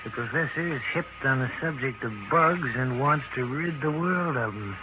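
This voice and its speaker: A man's voice: mid-range voice, moderate pace, lightly acted. An actor playing a brash, slangy hired hand in a 1940s radio drama, cocky and blunt in his talk. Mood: mocking. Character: brash, pushy, slangy young hired hand, male, scheming. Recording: noisy, tinny 1940s radio recording.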